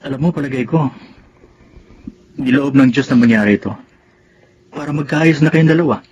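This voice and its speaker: A man playing a calm, reassuring figure at a clinic in a Filipino radio drama, with a Filipino accent. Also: medium pitch, normal speed, highs cut off, a little hiss or hum, lightly acted.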